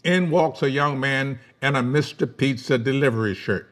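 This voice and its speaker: A man's voice: medium pitch, normal speed. Man narrating screenplay stage directions. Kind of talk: scripted narration at a live table read.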